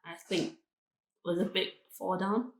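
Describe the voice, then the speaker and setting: Thai woman, lowish voice, echoey room. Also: fairly even intonation, unhurried, creaky, a little hiss or hum. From Thailand. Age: 20s. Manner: short, plain answers in simple second-language English. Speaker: guest, university student. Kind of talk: casual interview answers.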